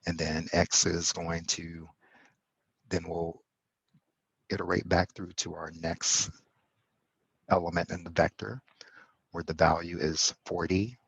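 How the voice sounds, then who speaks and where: A man explaining code step by step in a tutorial, pausing often and correcting himself as he goes. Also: low-pitched, level tone, creaky, fairly bright tone, breathy edge, no echo. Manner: halting, self-correcting explanation with fillers. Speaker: instructor. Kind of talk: screen-recorded coding tutorial.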